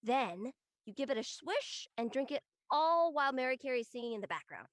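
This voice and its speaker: Woman playing earnest young college friend in an online drama; slightly high, conversational voice, close and dry. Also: sing-song, fairly quick, no room sound. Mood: playful, bossy.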